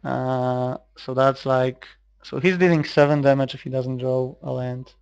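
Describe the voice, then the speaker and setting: A man's voice: medium pitch, steady tone, a little slow, creaky, darkish tone, clear, resonant tone, no echo, highs cut off. A man from Poland with a Polish accent giving casual, hesitant live commentary on a card game, thinking aloud as he plays. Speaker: online card game player recording gameplay.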